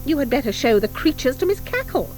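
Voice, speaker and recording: A woman's voice: high-pitched, big pitch swings, fast, darkish tone, faint music behind, pitched above the performer's own voice. A woman voicing a stern, icy schoolmistress witch in an audiobook, with a clipped, disdainful and sarcastic manner. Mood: nasty, commanding.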